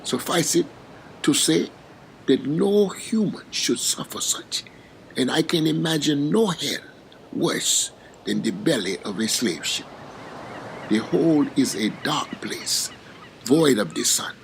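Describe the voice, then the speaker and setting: A man playing an enslaved African boatman who recounts his capture in a slow, formal, solemn monologue. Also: on the high side, animated, vocal fry, a little hiss or hum, heavily acted, poor audio. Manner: slow, measured, formal speech with grave pauses. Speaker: narrator in character. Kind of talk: dramatic first-person monologue.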